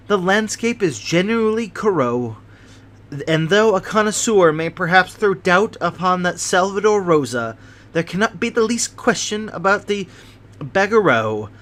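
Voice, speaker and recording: A man doing a fussy, nervous gentleman. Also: high-pitched, lively intonation, measured pace. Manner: prim, precious and fretful. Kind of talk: audiobook character voice.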